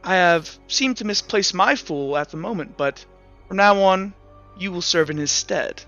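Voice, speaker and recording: Man doing a proud, mocking woman general, bright, dull lo-fi audio with faint music. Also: high-pitched, animated, normal speed, full character voice.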